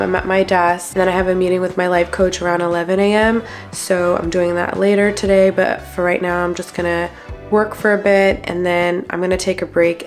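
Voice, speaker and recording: A woman in her thirties, slightly congested after a cold, chatting in a friendly, encouraging way to her vlog viewers. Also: lowish voice. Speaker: vlogger. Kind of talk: casual lifestyle vlog talk.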